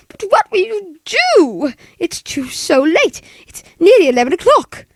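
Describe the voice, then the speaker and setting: A woman's voice: extremely high pitch, sing-song, measured pace, dry recording, clean studio sound, exaggerated voice. A woman playing an anxious, demanding bedridden wife in 1940s radio play style, with fretful, stammering, melodramatic delivery. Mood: flustered.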